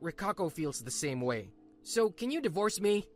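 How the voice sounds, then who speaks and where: A woman's voice: on the low side, sing-song, moderate pace, faint music behind. A woman voicing a smug, sneering middle-aged husband in a manga dub. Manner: sneering, dismissive.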